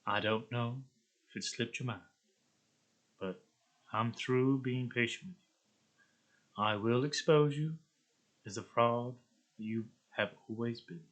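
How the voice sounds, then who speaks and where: A man voicing a threatening, shadowy arms dealer in a slow, deliberate monotone. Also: medium pitch, poor audio. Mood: cold menace.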